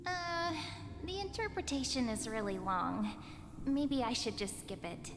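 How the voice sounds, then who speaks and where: An actress playing a gentle, playful village girl in a video game, soft and reassuring in her teasing. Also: on the high side, sing-song, measured pace, noisy background. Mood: teasing, storytelling. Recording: reverberant hall, music underneath. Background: loud music.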